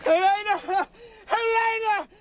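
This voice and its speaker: A man's voice: very high-pitched, slow. An actor playing a loyal, urgent male friend leading a rescue in a radio drama. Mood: frantic shouting. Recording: loud rescue-scene noise, clicks.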